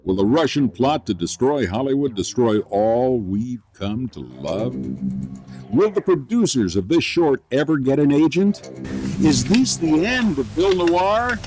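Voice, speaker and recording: A man's voice: medium pitch, sing-song, a little slow, over music. A man playing an overblown, earnest cliffhanger announcer in a campy radio play, grandiose and theatrical. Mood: melodramatic. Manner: grandiose, swooping dramatic questions. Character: overblown melodramatic serial announcer, earnest and easily carried away. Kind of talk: old-time cliffhanger radio announcing parody.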